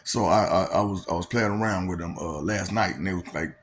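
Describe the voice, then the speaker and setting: A man's voice: sits low, brisk, fairly bright tone, slight background noise. Preachy man with a drawling monologue. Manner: preachy, rhetorical questions, lots of filler. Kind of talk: casual monologue.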